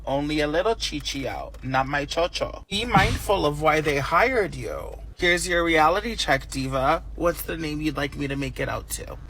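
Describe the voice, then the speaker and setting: A man's voice: a little high, moderate pace, few pauses, a bit bright, slight background noise, poor audio. A man, voiced in a short comic clip, playing a sassy office diva handing out advice in a preachy, emphatic way. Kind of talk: comic social media clip.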